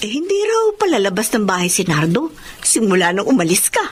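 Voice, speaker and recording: An actress with a Filipino accent playing a concerned older sister in a radio drama. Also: female voice, mid-range voice, huge pitch swings, fairly quick, slightly rough. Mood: confiding. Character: concerned older sister bringing news to her sibling.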